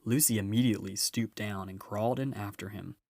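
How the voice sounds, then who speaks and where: Male storybook narrator, fairly deep, reading to children in a dry, echo-free space. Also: fairly even intonation, fairly quick, darkish tone. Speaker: audiobook reader.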